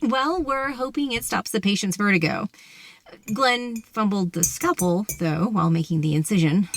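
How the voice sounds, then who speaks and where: An actress playing a candid, wry surgeon in an audio drama, conversational and dryly critical. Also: female voice, mid-range voice, normal speed, slight background noise, natural voice. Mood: wry, casual.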